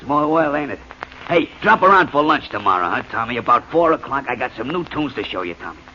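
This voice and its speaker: A man's voice: medium pitch, sing-song, pushed and bright. A man playing a brash, joking music publisher in 1940s radio acting. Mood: breezy. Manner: brash, fast-joking, gruff. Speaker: supporting actor. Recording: dull, slightly hissy old radio broadcast.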